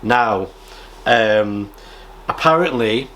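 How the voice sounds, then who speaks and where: A British man chatting casually as a radio hobby vlogger, rambling in a halting way and often clearing his throat. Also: fairly low pitch, a bit bright, slight background noise. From United Kingdom. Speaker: amateur radio vlogger and presenter. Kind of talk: casual rambling chat, reading out viewer comments.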